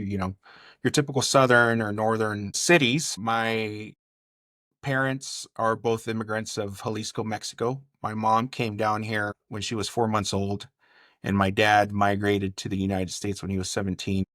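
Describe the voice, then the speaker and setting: A man from California speaks as a guest chef on a podcast. His voice sits fairly low and is recorded close and dry. He tells his stories in a casual, conversational way, filling them out with detail. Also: steady tone, moderate pace, fairly bright tone, no room sound. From Bakersfield, California. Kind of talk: casual interview storytelling.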